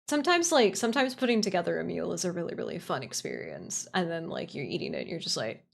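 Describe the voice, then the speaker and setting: A woman's voice: fairly low pitch, big pitch swings, fast, vocal fry. A woman chatting casually on a livestream, answering viewers in a gushing way and repeating phrases for emphasis. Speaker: livestream host.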